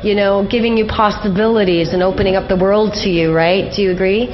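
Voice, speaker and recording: A woman hosts a conversational radio interview at a middling pitch, putting questions to her guest. The sound is somewhat dull and lo-fi, with faint noise behind her. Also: fairly even intonation, fairly quick. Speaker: radio show host, interviewer. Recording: dull lo-fi audio, background noise.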